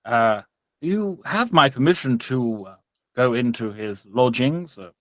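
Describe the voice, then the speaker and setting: A man's voice: medium pitch, lively intonation, unhurried, no room sound, lightly acted. A man acts out a pompous church father for a tabletop game. He speaks in formal, stately phrases and takes offence easily, in a close, dry recording. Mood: magnanimous. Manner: formal, stately, indignant when challenged.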